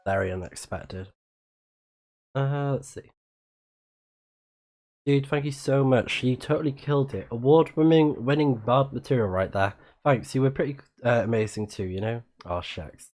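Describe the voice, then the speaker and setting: A man's voice: medium pitch, moderate pace, darkish tone. A man livestreaming a video game, giving casual commentary and reading game text aloud. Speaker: game streamer.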